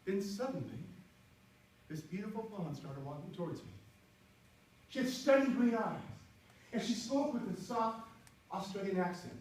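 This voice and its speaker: A man in his sixties giving an animated, humorous speech to an audience from a stage, now and then breaking into bits of song. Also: high-pitched, normal speed, long pauses. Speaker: contest speaker. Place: auditorium stage before an audience. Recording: echoey hall from far off, faint hiss.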